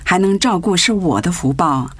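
Casting: actress as kindly old granny, Taiwanese Mandarin, swooping expressive intonation, selfless and doting, radio play. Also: female voice, fairly low pitch, normal speed, close to an everyday voice. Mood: tender. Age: older. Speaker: voice actor in a radio drama. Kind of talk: dramatic radio play acting.

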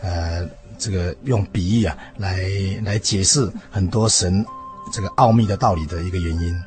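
A man, a preacher explaining haltingly, phrase by phrase, as an interview guest. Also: deep voice, soft background music. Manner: halting, phrase-by-phrase with trailing particles. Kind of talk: explanatory religious talk.

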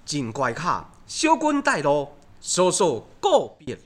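A man's voice: a little high, huge pitch swings, slow, background noise, heavily acted. A man playing a refined, courteous young scholar, declaiming formally in Taiwanese opera style. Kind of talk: Taiwanese opera recitation and dialogue.

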